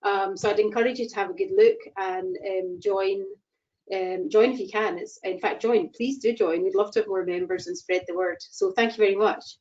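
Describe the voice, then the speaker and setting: A woman's voice: mid-range voice, steady tone, moderate pace, a little mellow. A woman with a Scottish accent giving a cheerful, enthusiastic introduction on a webinar call. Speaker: organisation chair introducing itself. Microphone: video call. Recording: dull online call audio.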